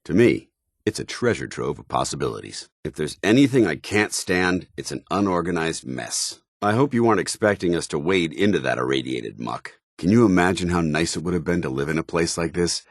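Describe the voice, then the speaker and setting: An actor playing a stern, disciplined armored soldier in a video game, speaking in formal, clipped military phrases. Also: male voice, deep voice, sing-song, a little fast, no echo, clean studio sound, heavily acted. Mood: matter-of-fact. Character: stern, dutiful armored soldier paladin, grown man, devout and disciplined. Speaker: companion character. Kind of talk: scripted video game dialogue.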